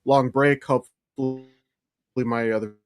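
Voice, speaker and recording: American male podcast co-host, medium pitch, loose rambling chat, dry recording. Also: steady tone, unhurried, clear, resonant tone, no room sound. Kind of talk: casual chat about comics and films.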